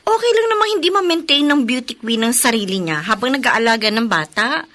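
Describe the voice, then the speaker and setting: A meddling, patronizing friend in a radio drama. Her voice is high and sharp with sweeping pitch, breezy, with English words sprinkled in. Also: lively intonation, fairly quick. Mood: breezy, needling.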